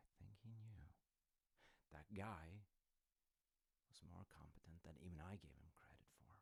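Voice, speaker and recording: A man acting as a smooth, sly male secret agent in an audio roleplay, close and dry. His low voice swings playfully up and down as he muses to his partner with teasing, false innocence. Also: darkish tone, no echo. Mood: wary, hushed. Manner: teasing, musing, hesitant pauses, falsely innocent. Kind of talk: intimate audio roleplay, addressing the listener as partner.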